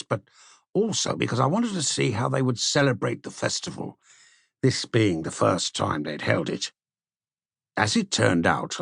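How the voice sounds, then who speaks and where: A man narrating a literary audiobook in an expressive storytelling manner, with a gravelly, husky voice. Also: fairly low pitch, dry recording, studio quality. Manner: expressive, storytelling delivery with shifts for dialogue. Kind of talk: scripted literary narration with character dialogue.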